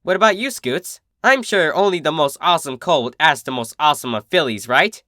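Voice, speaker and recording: A brash cartoon pegasus mare voiced by a story narrator looks out for a younger one. The delivery is cocky, slangy and insistent, with lively pitch and a dry, close sound. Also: brisk, dry recording. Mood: pushy, caring.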